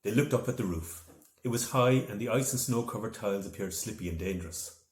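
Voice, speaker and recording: A man with a lowish voice narrates a children's storybook. His delivery is expressive and dramatic. The room around him rings with noticeable echo. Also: fairly quick. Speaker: author reading from own novel. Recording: echoey room.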